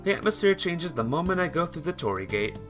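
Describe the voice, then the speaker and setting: A man's voice: fairly high pitch, very animated, fast, fairly bright tone, faint music behind. A man streaming a visual novel, switching between casual chat with viewers and reading the story aloud. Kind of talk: casual livestream chat mixed with expressive read-aloud narration.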